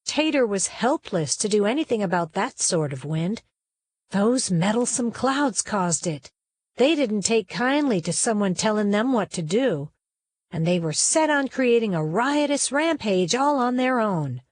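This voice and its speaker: A woman reading a children's story aloud as narrator, in a folksy, expressive storytelling manner with dramatic emphasis. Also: medium pitch, unhurried, dry recording.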